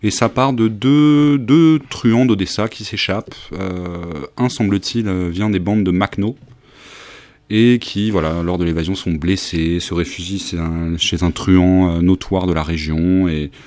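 A man's voice: low-pitched, steady tone, measured pace, a little mellow, no echo. A man hosting a radio talk, introducing songs in a casual, hesitant way with many fillers. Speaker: radio host presenting songs.

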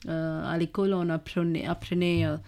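A woman's voice: fairly low pitch, level tone, normal speed, vocal fry, mellow. A woman from India with an Indian accent, speaking French as a learned language and hesitantly searching for words as she answers in an interview. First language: not French, learned it as an adult. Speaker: visual artist and art teacher being interviewed.

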